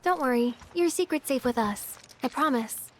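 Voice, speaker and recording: Game role: friendly young heroine, high-pitched, courteous and reassuring, slight background noise. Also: female voice, lively intonation, moderate pace. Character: friendly, kind young female adventurer from a city. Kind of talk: video game cutscene acting.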